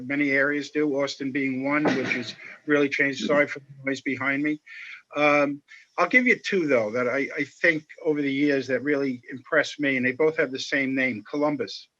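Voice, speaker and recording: A man speaking as a panelist on a video call, explaining at a measured pace with many fillers. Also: medium pitch, bright, forceful tone. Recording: muffled video call audio.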